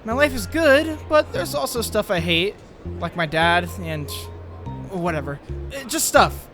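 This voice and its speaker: A man's voice: sits high, animated, measured pace, fairly bright tone, long reverb tail, faint music behind. An actor playing an anxious, brooding, science-obsessed teenage boy in a comic dub, earnest and prone to questioning himself. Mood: eager, earnest. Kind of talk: acted comic dub with inner monologue.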